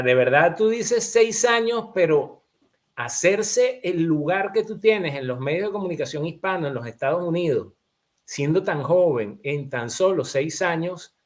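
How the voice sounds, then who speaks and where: A man from Venezuela with a Venezuelan accent, hosting a political talk show in an emphatic, opinionated way. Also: on the high side. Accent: Venezuelan Spanish. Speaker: host.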